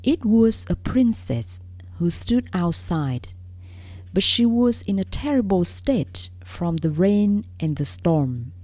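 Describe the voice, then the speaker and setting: A woman reading a fairy tale aloud as a read-along for learners, in careful, deliberate phrases with frequent pauses. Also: mid-range voice, sing-song, warm tone, no echo. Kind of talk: scripted read-along storybook narration.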